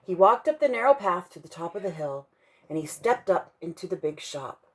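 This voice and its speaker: A woman reading a children's bedtime story aloud for a church kids' ministry, expressive and slowing down to stress key moments. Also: fairly low pitch, low-quality recording.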